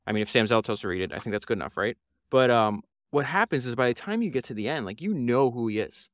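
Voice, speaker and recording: Earnest man, smooth dry voice. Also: medium pitch, animated, quick pace, dry recording. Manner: earnest, rambling, many fillers and restarts. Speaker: podcast host. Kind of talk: solo podcast book review.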